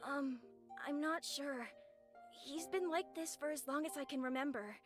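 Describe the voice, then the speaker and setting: An actress voicing an earnest, devoted, worried young son in a video game, speaking politely. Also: female voice, high-pitched, normal speed, over music, natural voice.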